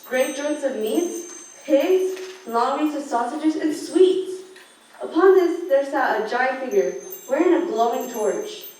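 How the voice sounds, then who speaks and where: A woman's voice: high voice, darkish tone. A young woman in her teens reading scripted storybook narration for a student radio play. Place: large school theater classroom, indoors. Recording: echoey room, distant mic, background noise.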